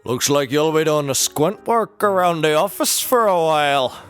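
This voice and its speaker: A man playing a gleeful, boastful dog-sled driver in a comedy audio drama, talking excitably. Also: a little high, moderate pace, soft background music. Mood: smug.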